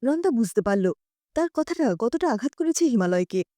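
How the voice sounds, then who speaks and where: A woman narrating a scripted short story in an expressive storytelling style. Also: on the high side, normal speed, dry recording.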